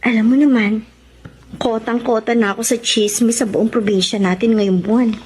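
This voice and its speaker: A woman's voice: fairly high pitch, steady tone, normal speed, a little mellow, slight background noise, natural voice, poor audio. A woman acting a tender, self-effacing young sweetheart in a Tagalog radio drama, speaking earnestly. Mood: earnest, resigned.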